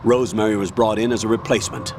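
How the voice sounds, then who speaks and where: An actor playing a stern, authoritative older military colonel in a video game, commanding, measured and formal as he gives a mission briefing. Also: on the low side, background noise, lightly acted. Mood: firm, persuasive.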